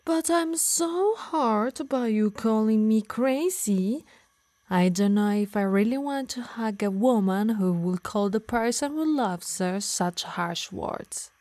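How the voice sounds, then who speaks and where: A woman voicing a possessive, doting yet menacing harpy in an intimate ASMR roleplay, teasing and coaxing softly, often in whispers. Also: a little high, slow, no room sound. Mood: teasing, hurt. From Italy. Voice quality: breathy, soft. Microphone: close, dry microphone.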